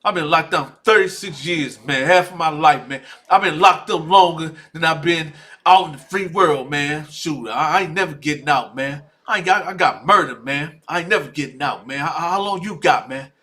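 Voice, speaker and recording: A man's voice: on the high side, moderate pace, bright, forceful tone. A man voicing a boastful 63-year-old prison inmate who has been locked up for decades, drawling and tacking "man" onto every phrase.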